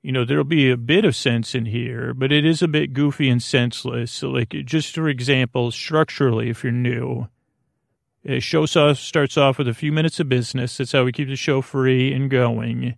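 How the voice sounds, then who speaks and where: Creaky-voiced man, soothing and meandering. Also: medium pitch, fairly even intonation, no echo, clean studio sound. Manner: meandering, halting, repeats and trails off; soothing, lulling. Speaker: podcast host. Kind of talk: rambling bedtime sleep podcast intro.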